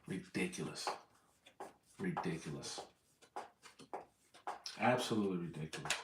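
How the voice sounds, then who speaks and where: A man's voice: deep voice, expressive, unhurried, reverberant, distant mic, background noise. An American man from Southern California in his thirties, rambling casually to his viewers and correcting himself as he goes. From Southern California, USA. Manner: meandering, corrects himself often. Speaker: vlogger.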